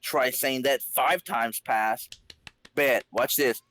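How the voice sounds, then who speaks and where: A man's voice: medium pitch, slow pace, fairly bright tone. A man hosting a comedy podcast, switching between playful narration and casual banter. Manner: jokey, playful.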